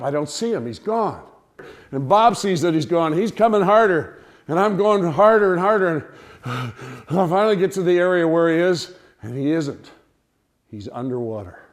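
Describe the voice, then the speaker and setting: Higher-pitched man telling a story. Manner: animated, theatrical storytelling with acted-out cries and dialogue. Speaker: storyteller, speaker to an audience. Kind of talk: humorous personal anecdote told live.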